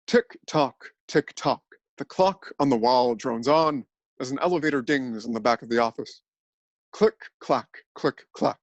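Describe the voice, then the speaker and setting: A man narrating a scripted dramatic reading, telling absurd events in an earnest, deadpan way. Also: mid-range voice, no room sound.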